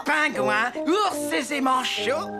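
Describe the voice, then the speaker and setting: A woman's voice: high-pitched, animated, extremely slow, loud music behind the voice, exaggerated voice. An actress playing a poetic little boy in a French children's cartoon, lyrical and theatrical. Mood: sing-song. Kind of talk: children's animated cartoon dub.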